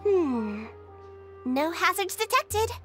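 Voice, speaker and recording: A woman's voice: extremely high pitch, very animated, takes it slowly, exaggerated voice. A woman voicing an innocent, childlike little female creature in a video game, earnest and a bit hesitant. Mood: earnest, proud. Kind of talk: video game character dialogue. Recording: loud music underneath.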